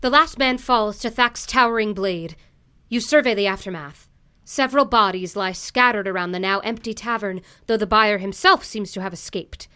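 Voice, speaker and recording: A woman playing a game master narrating a fantasy adventure in an audio drama, in measured storytelling style. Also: medium pitch, pushed and bright, a little hiss or hum, lightly acted.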